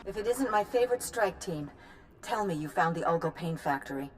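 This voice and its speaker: A woman's voice: on the low side, lively intonation, brisk, soft background music, close to an everyday voice, rough recording. A woman playing a pragmatic, hard-nosed military science commander in a video game, clipped and businesslike. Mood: brusque. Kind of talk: video game character acting.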